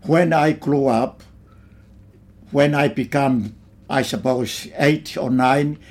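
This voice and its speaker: An older man from Tonga looks back on his early life in an interview. He speaks slowly and simply, with unhurried phrasing in a mid-range voice. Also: medium pitch, a little mellow. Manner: slow, plain reminiscing with simple phrasing. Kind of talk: oral history interview.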